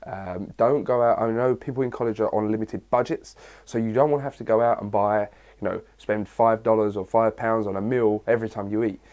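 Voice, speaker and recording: Hoarse young man with a cold. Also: lowish voice, steady tone, fairly quick, hardly any pauses, darkish tone. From England. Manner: chatty, rambling, reads questions aloud. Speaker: fitness vlogger. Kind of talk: casual Q&A vlog.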